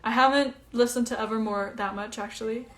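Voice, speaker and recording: A woman's voice: a little high, fairly even intonation, brisk, a bit bright. A young woman, a singer chatting casually at home during a phone livestream, answering comments in a breezy way with lots of fillers. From Iceland. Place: at home, indoors.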